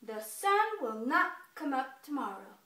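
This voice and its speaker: A woman puts on a boastful storybook bear, big and stubborn. The bragging booms out loudly and brightly. It is heard in an echoey room, a little distant from the microphone. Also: sing-song, a little slow, exaggerated voice. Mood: commanding, smug. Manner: booming, declamatory bragging. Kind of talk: children's folktale storytelling.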